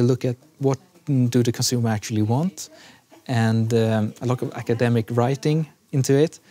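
Mellow young Swedish man. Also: mid-range voice. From Sweden. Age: young adult. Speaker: business student. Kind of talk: unscripted interview testimonial. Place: busy classroom studio, indoors. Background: soft background music.

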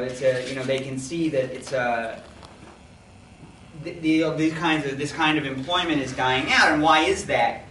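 A man in his thirties giving a public talk without a microphone, speaking loudly and often restarting his phrases. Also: mid-range voice. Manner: loud, unamplified, restarting phrases. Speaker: guest speaker, author. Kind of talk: public lecture. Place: community hall, indoors. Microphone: no mic, far camera. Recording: echoey hall, far from the mic, faint noise.

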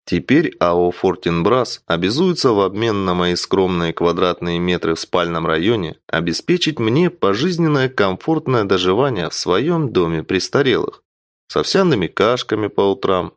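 A man's voice: sits low, dry recording, studio quality. A man narrating an audiobook in the first person with a wry, theatrical storytelling delivery.

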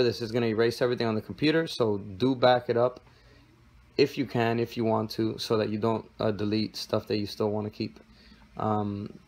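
A man hosting a casual tech tutorial, explaining steps one by one with frequent fillers, recorded on a handheld phone camera in a room. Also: on the low side. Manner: relaxed, step-by-step with frequent fillers. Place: indoor room with desk and computer.

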